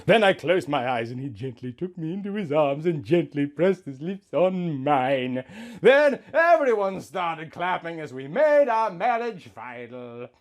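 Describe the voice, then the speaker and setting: A man gives a theatrical comic story reading in a fairly high voice with some creak. His delivery is melodramatic and mock-sentimental, with wide swoops in pitch and swings from hushed to loud. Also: big pitch swings, unhurried. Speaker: comic host reading out fan fiction.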